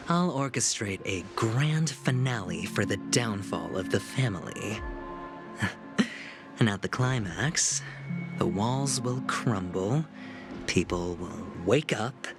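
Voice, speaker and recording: Loud music sits under a young schemer in a video game. He is smug and theatrical, drawing out his words with wide, teasing swings in pitch and a slow, grandiose confidence. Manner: teasing, grandiose, drawn-out delivery.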